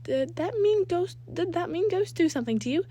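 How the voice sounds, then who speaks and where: A woman's voice: very high voice, expressive, brisk, warm tone, slight background noise, voice pushed up. A woman voicing a worried, hot-tempered mother in a story, insistent and scolding.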